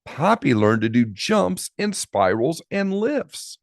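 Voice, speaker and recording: A man narrates a picture book for children in an expressive, lively style. He takes it slowly with dramatic pauses, and his voice has a gravelly creak. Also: fairly high pitch, no room sound, studio quality. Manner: dramatic pauses, drawn-out key words. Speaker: storybook reader.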